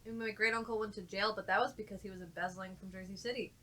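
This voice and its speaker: A woman with a very bright, forward voice at a middling pitch, telling personal anecdotes in relaxed conversation. She is frank and swears casually, as a guest on a panel chat. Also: fast talker.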